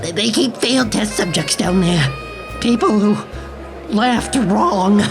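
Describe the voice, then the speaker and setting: A woman's voice: medium pitch, slow pace, vocal fry, over music. A woman playing a furtive, nervous jazz club informant who speaks hesitantly in a noir radio play. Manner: hesitant, stammering, warning.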